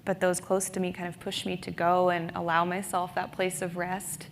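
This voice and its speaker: A woman speaking on a writers' panel, reflective and halting, with many fillers. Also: fairly low pitch, completely flat delivery, big-room sound, slight background noise. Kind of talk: panel discussion. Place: conference stage.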